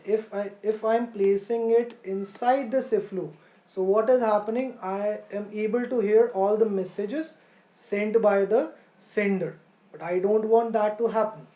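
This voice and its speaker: A woman with a mellow, mid-pitched voice gives a live online tutorial in a roomy, echoey space. She explains step by step as a teacher does, often pausing to think aloud and restating phrases for emphasis. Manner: poses questions and answers them. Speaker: coding teacher.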